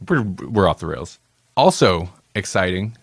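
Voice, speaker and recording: A man's voice: low-pitched, sing-song, unhurried, gravelly, breathy edge, no room sound, clean studio sound. A male podcast host in casual banter, playful and rambling, laughing often.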